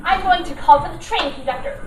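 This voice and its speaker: Woman acting a high-voiced, sharp-tongued tough dame, echoey room. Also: brisk. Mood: scornful. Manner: sarcastic, scornful, commanding. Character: sharp-tongued, bossy, suspicious brunette sister, secretly a gangster. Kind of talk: old-time radio drama acting. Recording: roomy, distant mic with faint noise.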